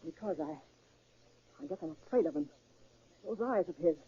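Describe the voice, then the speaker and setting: Husky, bitter gangster's woman. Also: fairly low pitch, animated, moderate pace, warm tone. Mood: fearful. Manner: tough, slangy, hesitant. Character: bitter, fearful gangster's woman bent on revenge. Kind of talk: 1930s radio crime drama acting. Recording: muffled, lo-fi 1930s radio recording.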